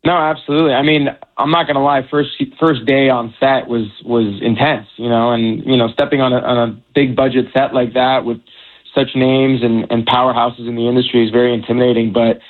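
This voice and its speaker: A man from the United States, an actor guesting on an interview, talking in a relaxed way and restarting often. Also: mid-range voice, a little fast. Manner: relaxed, stumbling, many restarts. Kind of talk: casual interview. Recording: tinny phone line.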